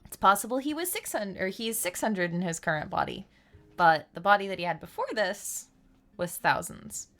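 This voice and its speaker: A woman's voice: medium pitch, expressive, normal speed, a bit bright. A woman chatting casually on a live game stream, explaining things in a rambling, chatty way over game music.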